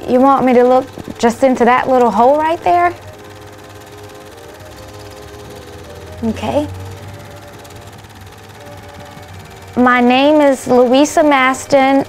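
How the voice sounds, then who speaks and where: An actress, speaking in a halting, earnest film monologue. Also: female voice, high-pitched, soft background music. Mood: shy, unsure. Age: 20s. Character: anxious young 1940s woman awaiting news of a loved one. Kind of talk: film monologue as 1940s interview.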